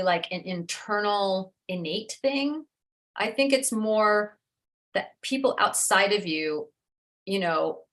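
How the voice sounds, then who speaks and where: A woman's voice: on the low side, a bit bright. A middle-aged woman author from the United States, speaking earnestly and reflectively in a casual interview.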